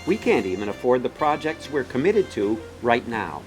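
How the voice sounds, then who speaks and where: A man's voice: medium pitch, sing-song, normal speed, a little mellow, soft background music. A man reading scripted documentary narration as the narrator.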